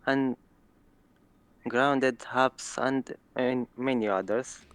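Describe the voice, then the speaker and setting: A man from Romania with a Romanian accent, answering interview questions in a halting way with dry humor. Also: mid-range voice, steady tone, a bit bright, smooth, no echo. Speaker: guest player.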